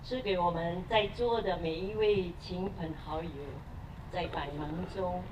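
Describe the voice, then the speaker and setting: Low-voiced middle-aged mother. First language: Mandarin. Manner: halting, with fillers and pauses. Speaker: mother of the groom. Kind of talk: wedding speech. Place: outdoor garden wedding. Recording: distant mic, noisy outdoors.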